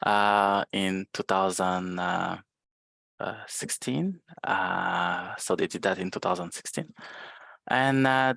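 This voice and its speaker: A man whose first language is French, giving a webinar presentation over a video call in a halting way with many fillers. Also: sits low, gravelly, a bit bright, no room sound. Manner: hesitant, many filler sounds. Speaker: guest presenter, librarian.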